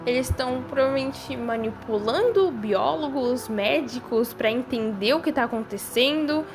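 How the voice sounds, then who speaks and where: A woman's voice: sits high, animated, moderate pace. A young woman with a Brazilian accent giving casual, speculative commentary on a horror series in an informal, conversational way. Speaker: video creator. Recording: voice-over with music behind it. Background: background music.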